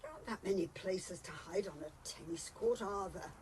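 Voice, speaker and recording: A woman's voice: fairly low pitch, normal speed, a little mellow, natural voice. A woman playing a fussy, scandalised, prudish British club lady in an amateur radio comedy. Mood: shocked, disapproving. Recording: faint background noise, home recording.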